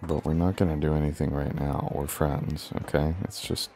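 Flirty, commanding former professor, acted by a man: extremely deep, creaky, breathy delivery for intimate ASMR roleplay. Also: fairly even intonation, moderate pace, mellow. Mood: hesitant, flirtatious. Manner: breathy, murmured, teasing, often dropping to near-whisper.

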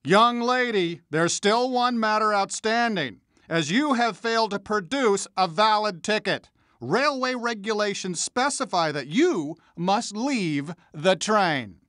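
A man's voice: high voice, lively intonation, a little slow, a bit bright, dry recording, studio quality. A stern train conductor from a video game. He is middle-aged and officious, speaking in formal, clipped phrases with a pompous air. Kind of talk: animated video game character acting.

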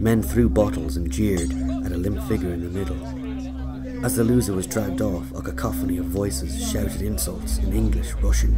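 A man narrating a scripted autobiographical audio drama. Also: low-pitched. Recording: prominent music under narration.

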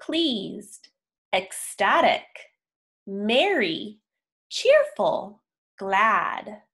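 A young adult woman reading a story aloud for young children, enunciating deliberately with pauses between words. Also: medium pitch, very animated, fairly bright tone. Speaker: children's picture book reader. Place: indoor room, on a video call.

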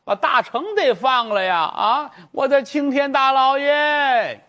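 A man doing a wailing old mother, high and quavering. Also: sharp tone, exaggerated voice. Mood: pleading. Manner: crying, drawn-out sing-song lament. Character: wailing grief-stricken old mother pleading in court.

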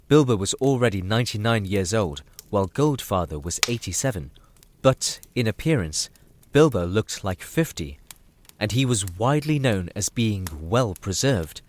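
A man giving scripted story narration for an audio drama. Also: on the low side. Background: music.

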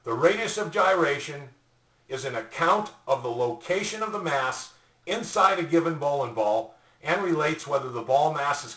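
An older man giving a scripted product explainer in a deliberate, formally worded way. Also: mid-range voice, a bit bright. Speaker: presenter. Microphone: webcam a little way off. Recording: roomy echo, distant mic.

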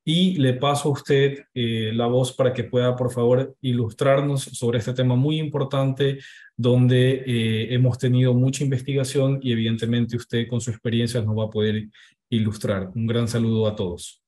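A man's voice: mid-range voice, flat delivery, normal speed, dark tone. A man moderating a webinar over a video call, introducing himself and the guest in a polite, formal way with some fillers.

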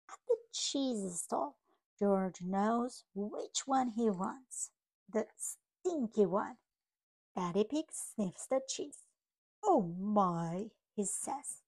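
Slow-paced woman reading a children's story. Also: mid-range voice, a little mellow. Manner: simple, deliberate phrasing with questions to young listeners. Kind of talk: read-aloud picture book storytelling.

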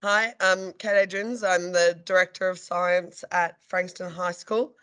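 Brief: woman teacher, voice half gone, low flat chatty delivery. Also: measured pace, smooth. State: losing her voice. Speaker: head of science, teacher.

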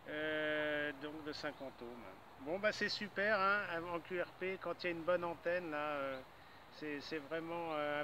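An older man chatting casually as an amateur radio operator outdoors, punctuating his phrases with little tag words. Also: on the high side. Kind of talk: casual ham radio exchange. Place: outdoors in a grassy park. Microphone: camera a few metres away, holding radio hand mic. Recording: outdoor noise behind voice.

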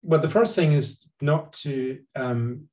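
A man's voice: fairly high pitch, slow, dark tone. An older man, a guest psychologist, explaining earnestly over a webcam call from his living room, often restarting his sentences. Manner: earnest, gesturing with his hands, frequent restarts. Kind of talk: explanatory conversation on a video call. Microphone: webcam on a video call.

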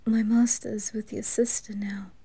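A woman voicing a dutiful, apologetic demon maid in an anime fan roleplay, gentle and breathy. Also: mid-range voice, level tone, a little slow. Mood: solemn. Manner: breathy, gentle, near whisper.

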